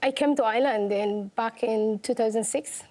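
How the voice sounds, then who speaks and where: A woman's voice: a little high, moderate pace, mellow, slight background noise. A young woman from Somalia, speaking earnestly as an interview guest. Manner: earnest, simple phrasing, laughs easily. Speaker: guest, activist. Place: television studio.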